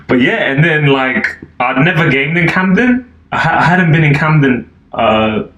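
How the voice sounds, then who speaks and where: A man's voice: on the high side, animated, unhurried, a bit bright, dull audio. A man in his twenties from Albania, a podcast guest chatting casually and loosely, full of fillers. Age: 20s. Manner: laid-back, rambling, lots of fillers and "like". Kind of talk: casual chat. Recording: echoey room, faint noise.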